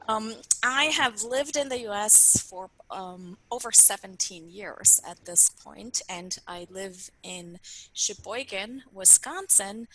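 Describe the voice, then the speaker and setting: A woman's voice: mid-range voice, bright, forceful tone, low-quality recording. A woman from Switzerland, a native German speaker, chatting as a guest in a webinar interview, friendly and full of fillers. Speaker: PR consultant guest.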